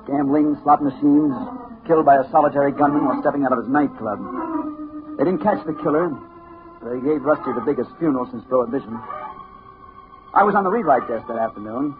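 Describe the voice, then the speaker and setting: A man's voice: medium pitch, close to an everyday voice. Hard-boiled reporter on tinny old radio man acting. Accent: American. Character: hard-boiled newspaper reporter, male, wary and determined. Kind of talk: 1950s radio drama acting. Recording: noisy, tinny 1950s radio recording.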